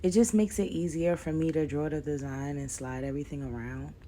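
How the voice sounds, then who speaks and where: A young woman, a little raspy from a cold, chatting loosely through a nail tutorial and saying "like" and "guys" a lot. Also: low-pitched, fairly even intonation, brisk, mellow, a little hiss or hum. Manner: rambling, says "like" and "guys" a lot. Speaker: nail vlogger. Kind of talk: casual chatty tutorial voice-over.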